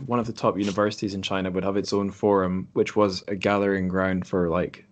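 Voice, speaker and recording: A man hosting a podcast in a casual chat, speaking in a thoughtful, halting way. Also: low voice, fairly even intonation. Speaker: podcast host. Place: remote group call.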